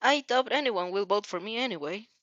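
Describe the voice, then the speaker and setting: A woman's voice: mid-range voice, expressive, fairly quick, a bit bright, no echo, dull audio, lightly acted. A woman voicing a shy, self-doubting teenage boy who speaks hesitantly. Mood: insecure. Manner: hesitant, faltering.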